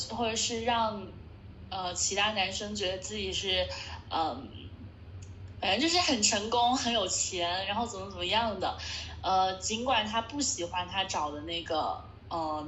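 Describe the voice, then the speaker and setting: Female interpreter, halting delivery with fillers, roomy echo. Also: mid-range voice, a bit bright, slight background noise. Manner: hesitant, with many fillers. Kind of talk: consecutive interpreting.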